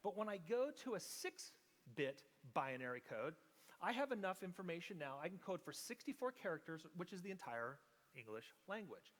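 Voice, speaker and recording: A man's voice: high voice, sing-song, moderate pace, a bit bright. A man preaching a teaching sermon, explaining step by step and often checking in with the congregation. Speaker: pastor. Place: church sanctuary. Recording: long hall reverb.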